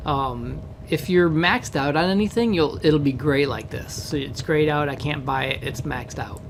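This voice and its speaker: Hoarse man walking through his game. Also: a little high, moderate pace, slight background noise. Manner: rambling, many fillers and "right?" tags. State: hoarse from a cold. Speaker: game developer. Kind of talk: casual game walkthrough.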